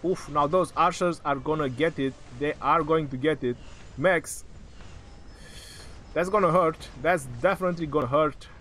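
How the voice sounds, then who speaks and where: A man calling a strategy game match live, in excitable, joking play-by-play, his voice going hoarse. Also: fairly high pitch, normal speed, slight background noise.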